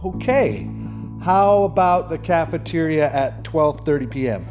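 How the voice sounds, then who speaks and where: A woman's voice: low voice, expressive, a little slow, over music. A woman playing an easygoing friend in a friendly, casual phone chat for a language lesson. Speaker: actor in lesson dialogues.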